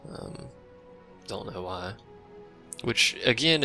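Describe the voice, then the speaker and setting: A man with a deep voice giving casual, rambling commentary while he plays a game and chats with his stream viewers, offhand and self-deprecating. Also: pushed and bright. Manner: chats with a live stream chat. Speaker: let's play streamer.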